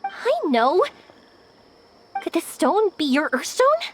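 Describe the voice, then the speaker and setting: A woman's voice: sits high, sing-song, slow pace, soft background music, exaggerated voice. A woman playing an upbeat, encouraging, kind young woman with an adventurous streak in a video game. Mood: gentle, encouraging. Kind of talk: video game character acting.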